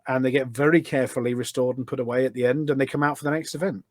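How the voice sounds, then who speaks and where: A man's voice: medium pitch, fairly even intonation, very fast, dry recording. Chatty middle-aged man, dry close recording. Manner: chatty, explanatory, anecdotal. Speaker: podcast guest, volunteer event organiser. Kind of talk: casual conversational interview.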